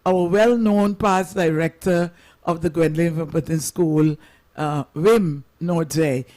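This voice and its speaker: Slow-talking man hosting local radio. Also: fairly high pitch, fairly even intonation, darkish tone. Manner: halting, wordy, formal phrasing. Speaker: host of a local radio program. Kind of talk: informal radio introduction and interviewing.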